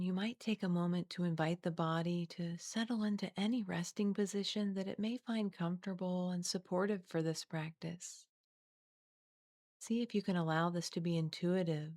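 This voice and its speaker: A woman guiding a body scan meditation in a gentle, soothing, unhurried way, leaving long pauses between scripted phrases. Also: fairly low pitch, no room sound, studio quality. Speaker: guided meditation teacher.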